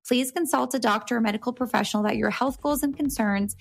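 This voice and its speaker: A woman's voice: fairly high pitch, quick pace, gravelly. Enthusiastic, informal female podcast host. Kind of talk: chatty podcast interview.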